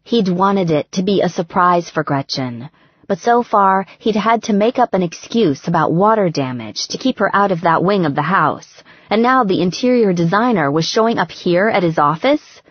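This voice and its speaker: Low-voiced woman narrating fiction, husky and expressive. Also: normal speed, no room sound, dull audio. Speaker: audiobook narrator.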